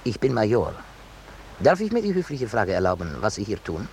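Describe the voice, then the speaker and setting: An actor playing a pompous, suspicious army major in a 1950s radio play, brusque and officious but formally courteous. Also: male voice, fairly low pitch, huge pitch swings, fairly quick, a little mellow. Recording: noisy old 1950s radio recording.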